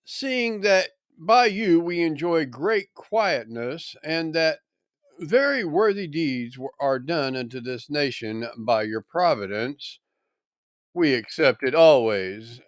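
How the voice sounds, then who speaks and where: Man reading as a ceremonious, emphatic orator, slow and formal. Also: mid-range voice, no echo, studio quality. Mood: flattering, ceremonious. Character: formal male orator flattering and accusing before a governor.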